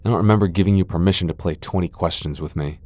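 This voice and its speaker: Man playing a very deep, sardonic vampire lord. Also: fast, warm tone. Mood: disdainful, sardonic. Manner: sardonic, theatrical, taunting. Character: centuries-old male vampire lord, haughty, taunting, tsundere. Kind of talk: ASMR fantasy roleplay acting.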